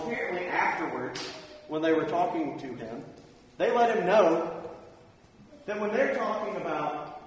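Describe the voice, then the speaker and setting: Man, preacher, conversational sermon, plain-spoken, informal, strong hall echo, faint background noise. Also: fairly high pitch. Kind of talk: sermon with personal anecdotes. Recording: echoey hall, distant mic, faint noise.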